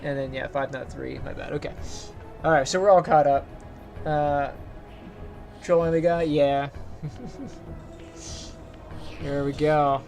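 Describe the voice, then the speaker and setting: A man with a light, higher-pitched voice, casually rambling to his chat as he plays a game and streams. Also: steady tone, slow, vocal fry, pauses often, a little mellow, soft background music. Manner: rambling, chatty, talks to chat while playing. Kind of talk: casual gameplay commentary.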